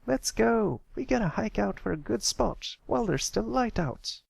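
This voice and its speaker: A man's voice: high-pitched, expressive, moderate pace, vocal fry, breathy edge, no echo, pitched above the performer's own voice, exaggerated voice. A man voicing an impatient young tomboy pony with a brash, urgent delivery.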